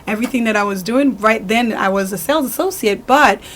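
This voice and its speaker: A woman's voice: fairly high pitch, brisk. A woman in her forties from Haiti, a boutique owner and stylist, telling her story in an interview and acting out what her customers say. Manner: animated storyteller, acts out customers' lines.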